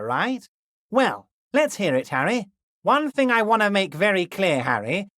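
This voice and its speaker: A man voicing a scheming, smarmy middle-aged union boss in a video game, ingratiating and slow with oily reassurances that carry a veiled threat. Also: high voice, fairly bright tone, no room sound, clean studio sound, full character voice. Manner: ingratiating, keeps repeating the listener's name. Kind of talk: recorded game dialogue lines.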